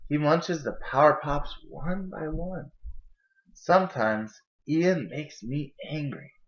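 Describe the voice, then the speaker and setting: A man's voice: a little high, slow pace. A young adult man reading a children's picture book aloud in a storytelling style, recorded indoors on a camera mic. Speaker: storybook reader. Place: indoor kitchen and living room. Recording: dull, lo-fi camera audio.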